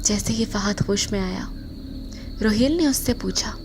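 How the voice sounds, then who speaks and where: A woman's voice: mid-range voice, measured pace, over music. A woman reading scripted narration for a horror audio drama. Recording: noisy track with loud ambience.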